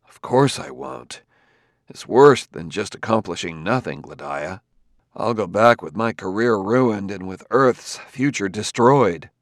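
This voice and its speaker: A man's voice: lowish voice, expressive, measured pace, no room sound, studio quality. A man voicing a weary, dogged male investigator in an audiobook novel, blunt and resigned yet earnest and tender. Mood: resigned, worried.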